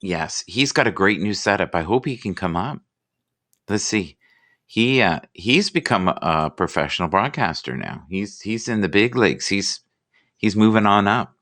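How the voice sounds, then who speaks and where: A man hosting a casual live chat, relaxed and rambling, who reads inspirational quotes aloud slowly. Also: lowish voice. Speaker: livestream host.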